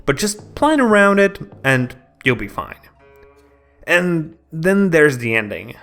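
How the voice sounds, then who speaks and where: A man's voice: a little high, sing-song, slow pace, a bit bright, soft background music. A man narrating a scripted video game review in a dramatic, storytelling manner.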